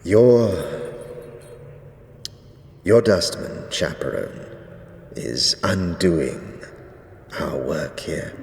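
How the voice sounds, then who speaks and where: An actor playing a solemn, stern undead priest, devout and archaic in speech, in a fantasy audio drama. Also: male voice, deep voice, creaky, exaggerated voice. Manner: archaic, grave, measured pronouncements. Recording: echoey stone hall reverb, faint noise.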